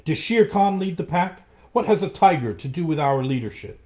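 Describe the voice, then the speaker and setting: A man voicing a bold, defiant boy raised by wolves, speaking loud and high. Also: sing-song, measured pace.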